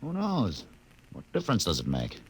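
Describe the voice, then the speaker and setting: An actor playing a fatalistic, sardonic expedition doctor in a 1950s radio drama, speaking deliberately and philosophically. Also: male voice, lowish voice, wildly swooping pitch, background noise. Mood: dry, needling.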